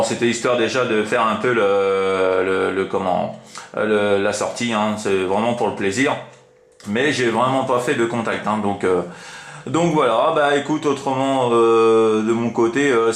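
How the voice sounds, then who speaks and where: Man, medium pitch, roomy echo, informal rambling CB operator chat, lots of tag words. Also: a bit bright. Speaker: amateur CB radio operator. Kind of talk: casual radio chat. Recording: roomy, echoing room sound.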